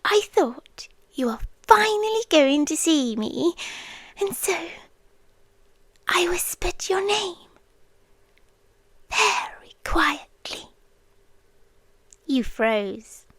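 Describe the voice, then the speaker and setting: A British woman playing an obsessive, possessive girlfriend in an ASMR roleplay, whispering softly and intimately close to the microphone. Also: very high-pitched, big pitch swings, dry recording, exaggerated voice. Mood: menacing intimacy. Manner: slow, breathy, intimate whispering mixed with soft voice. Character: obsessive, possessive yandere girlfriend lurking under the bed. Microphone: close, dry microphone.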